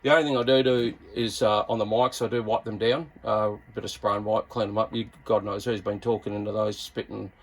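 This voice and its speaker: A man's voice: on the low side, fairly even intonation, brisk, hardly any pauses, poor audio. Laid-back Australian man chatting about radio gear. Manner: laid-back, colloquial, blokey. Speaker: YouTube radio hobbyist and reviewer. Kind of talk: casual hands-on gear review with CB radio chatter.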